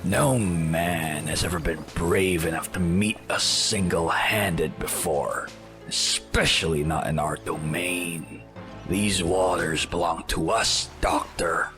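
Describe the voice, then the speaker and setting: Very deep, menacing pirate captain. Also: male voice, slow pace, bright, forceful tone, faint music behind, full character voice. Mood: haughty, menacing. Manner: imperious, formal, stilted phrasing. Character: menacing, proud pirate captain of ancient sea raiders. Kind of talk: audio drama acting.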